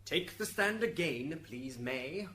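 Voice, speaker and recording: A man's voice: a little high, lively intonation, takes it slowly, sharp tone, full character voice. A man acting an authoritative afterlife judge, formal and commanding, in an amateur radio drama. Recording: hissy amateur recording, background noise.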